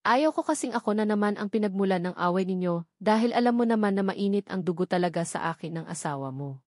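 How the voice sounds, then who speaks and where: A woman's voice: medium pitch, animated, quick pace, darkish tone, no room sound, studio quality, lightly acted. A woman voicing a worried, teasing young man, a friend of the heroine in a novel.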